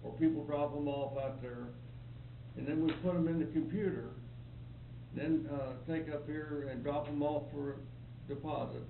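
A man's voice: fairly high pitch, fairly even intonation, moderate pace, gravelly. A man on an airport board who hesitates with many fillers while describing a process at a public meeting. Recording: distant, echoey, noisy, tinny audio.

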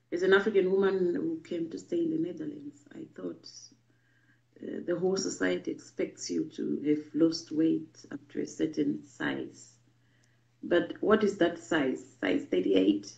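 A woman's voice: lowish voice, vocal fry, a little mellow. Earnest Zimbabwean woman in an echoey room. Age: 40s. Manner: earnest, frequent ums and pauses. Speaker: vlogger and babywearing teacher. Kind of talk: personal storytelling to camera. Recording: muffled, echoey room audio.

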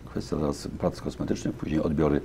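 A man in his fifties, a deputy county official, answering interview questions in a local TV studio on a lapel mic, speaking haltingly with many fillers and restarts. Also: extremely low pitch, fairly even intonation, gravelly, dark tone, slight background noise.

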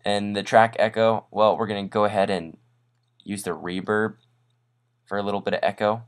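A man with a fairly low voice narrates a screencast tutorial. He talks informally and casually, like a host walking a viewer through software, with an upbeat and self-deprecating manner. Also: little pitch movement, moderate pace, smooth. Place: voice-over on a screen recording.

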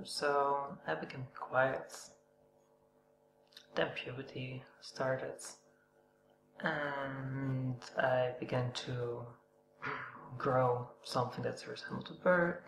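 A woman in her thirties giving a personal testimony on camera, hesitant and soft-spoken, pausing and sighing between thoughts. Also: extremely low pitch, vocal fry. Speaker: vlogger. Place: indoor room, seated on a couch before a dark backdrop. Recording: echoey room with humming noise.

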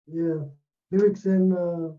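A man's voice: high voice, steady tone, slow pace, dark tone, lo-fi sound. A man in his thirties with a Fijian accent, speaking casually as an interview guest over a thin video-call line. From Taveuni, Fiji. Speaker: guest, songwriter. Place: small room indoors, on a video call.

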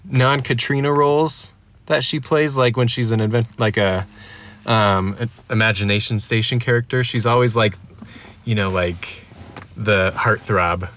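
A man's voice: lowish voice, fairly even intonation, measured pace, a bit bright, a little hiss or hum. Man chatting casually on slightly muffled audio. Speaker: podcast guest. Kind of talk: casual chat.

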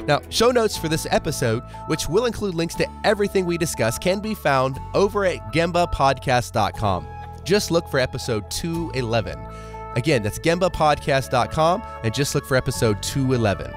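A male podcast host with a cold, a little congested and froggy. His pitch moves a lot as he speaks in an upbeat, encouraging way. Music plays clearly behind his intro. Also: sing-song, moderate pace. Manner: upbeat, affirming, frequent "yeah".

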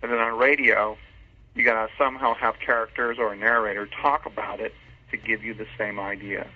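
A man speaks over a narrow phone line with a husky, unclear voice. He is an interview guest, conversational and emphatic, voicing his own thoughts as he goes. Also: on the low side, little pitch movement, a little fast. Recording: muffled, tinny phone line.

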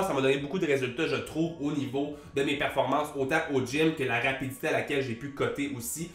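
Stuffy-nosed Québécois man talking fast. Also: a little high, roomy sound. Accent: Québécois French. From Quebec. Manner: fast, animated, swears casually, gestures a lot. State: head cold, nasal voice. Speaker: fitness coach. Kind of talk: casual vlog talk to camera. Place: small room with a plain grey wall. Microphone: large desk mic on a boom arm.

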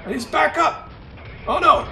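A man voicing an excitable, mocking game commentator who speaks broken English in a put-on foreign accent. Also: very high voice, measured pace, vocal fry, exaggerated voice. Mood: excited, mocking. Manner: broken grammar, exclaiming and gloating. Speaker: host. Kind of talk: comic commentary on a game replay. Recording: roomy, distant mic with faint noise.

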